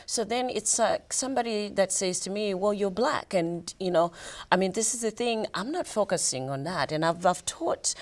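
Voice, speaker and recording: A woman with a Zimbabwean accent hosting a conversational interview, often pausing to rephrase as she goes. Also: mid-range voice, animated. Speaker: podcast host and interviewer.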